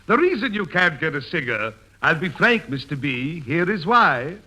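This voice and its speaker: An actor playing a pompous, hammy poet who declaims grandly in a 1940s radio comedy. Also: male voice, on the high side, sing-song, normal speed, bright, forceful tone, exaggerated voice. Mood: declaiming. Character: pompous hammy poet reciting corny verse. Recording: noisy 1940s radio broadcast.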